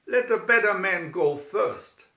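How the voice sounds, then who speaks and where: A merry, bold outlaw leader from a storybook, acted out by a man, hearty and declamatory. The pitch rides high and swings widely, in a dull, roomy recording. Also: expressive, measured pace, sharp tone, heavily acted. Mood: defiant.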